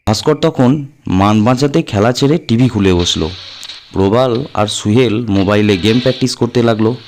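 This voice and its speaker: A man's voice: lowish voice, moderate pace, a little mellow, slight background noise. A man reading a horror audio story as a narrator, in a dramatic scripted style. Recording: music under the voice. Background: background music.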